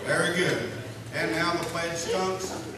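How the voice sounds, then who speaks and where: A man's voice: fairly high pitch, level tone, slow pace, over a noisy crowd. A man playing a pompous, forgetful, dismissive lodge leader who runs a meeting in a folksy, commanding way, in a comedy radio sketch. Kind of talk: live comedy radio-show sketch acting. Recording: echoey hall, distant mic, noisy.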